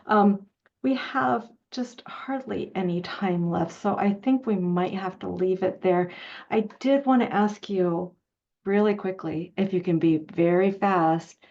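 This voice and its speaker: A woman's voice: mid-range voice, measured pace. A woman hosting a casual interview in a gushing, enthusiastic way. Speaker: interview host. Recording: dull lo-fi connection.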